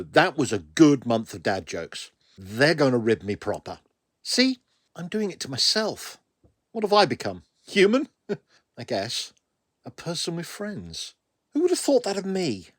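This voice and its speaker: A man's voice: medium pitch, fairly bright tone, no echo, studio quality. A British man voicing a wounded, stubborn young woman paramedic in a wry, musing first-person audiobook narration. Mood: reflective. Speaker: author reading own story.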